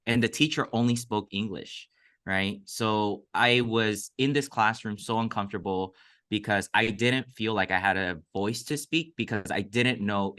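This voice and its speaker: A man's voice: on the low side, pushed and bright. A man from Mexico whose first language is Cantonese, a podcast guest telling a personal story in an earnest, reflective way. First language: Cantonese (Toisan). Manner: reflective, earnest, many fillers. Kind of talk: casual storytelling interview.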